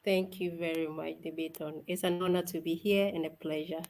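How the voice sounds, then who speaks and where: A young woman from Ghana, a guest on an interview show, cheerful and soft-spoken, laughing often as she talks. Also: low voice, level tone, a little fast. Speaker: guest, event organizer. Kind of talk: casual interview.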